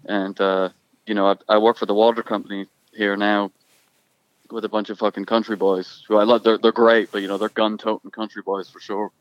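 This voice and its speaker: A young man from Ireland with an accent that drifts between Irish, Scottish and American, talking casually and haltingly with many restarts. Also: fairly low pitch, flat delivery, dry recording, dull audio. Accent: mixed Irish and Scottish with American. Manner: halting, restarts often, thoughtful. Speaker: guest musician. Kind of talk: casual conversation.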